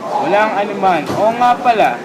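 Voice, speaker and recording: A man's voice: high voice, lively intonation, normal speed. A man playing an encouraging schoolboy friend in a scripted student radio drama. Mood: reassuring. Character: encouraging schoolboy friend retelling a hero's tale. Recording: very noisy amateur recording.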